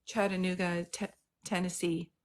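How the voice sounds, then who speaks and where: A woman's voice: lowish voice, level tone, normal speed. Chatty woman greeting live-stream viewers. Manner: chatty, greets viewers by name, reads comments aloud. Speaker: psychic medium host. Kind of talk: casual live-stream chat. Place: home in Santa Cruz.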